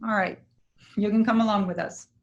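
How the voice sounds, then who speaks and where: A woman's voice: medium pitch, fairly even intonation, brisk, muffled recording, lightly acted. A woman playing the kindly but firm leader of travelling actors in a staged play reading. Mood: solemn, welcoming.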